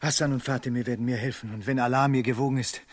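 An actor playing a proud, weary merchant held captive for ransom in a German radio play, speaking tersely with wry restraint. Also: male voice, medium pitch, steady tone, fast talker, no echo, natural voice. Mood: weary, questioning.

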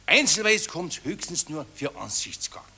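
An actor playing an obsequious, pushy court photographer in a satirical radio play, with a fawning, insistent manner. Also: male voice, on the high side, sing-song, normal speed, vocal fry, bright, forceful tone, breathy edge, heavily acted. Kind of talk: satirical radio drama acting.

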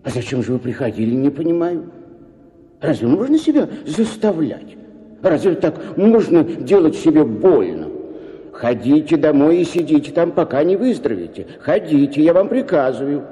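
An actor playing a sentimental, fussy high official who gushes sympathy and then gives orders. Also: male voice, very animated, moderate pace, mellow. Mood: effusive. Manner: effusive, sighing, fussily commanding. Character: fussy, sentimental elderly male official, the boss. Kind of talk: radio play acting. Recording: long reverb.

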